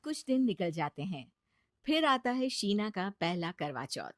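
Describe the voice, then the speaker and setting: A woman narrating a scripted family story in an expressive storytelling style. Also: mid-range voice, a little slow, no room sound, clean studio sound.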